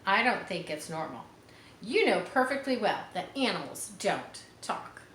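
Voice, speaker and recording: A proper storybook farm mother, polite and fretful, read by a woman. The voice is bright with lively intonation, in a room with clear echo. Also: mid-range voice, measured pace, slight background noise. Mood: worried.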